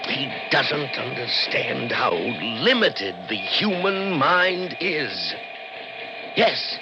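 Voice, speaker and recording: An actor in a 1960s radio drama playing a brooding, intense scientist with theatrical, deliberate emphasis. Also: male voice, mid-range voice, big pitch swings, music in the background, full character voice. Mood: intense, musing. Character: obsessive brilliant male scientist, brooding and intense. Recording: lo-fi old radio broadcast recording.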